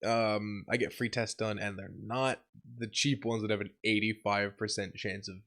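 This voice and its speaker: A young man sick with a cold, his voice deeper than usual, telling a rambling vlog story with lots of swearing and laughter. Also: little pitch movement, moderate pace, fairly bright tone. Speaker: channel host. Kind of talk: casual vlog update.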